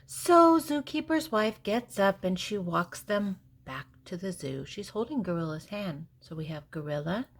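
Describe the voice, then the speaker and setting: Slow-paced, husky older woman reading aloud. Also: medium pitch, animated. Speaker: storybook reader and host. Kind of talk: reading a picture book aloud to young children.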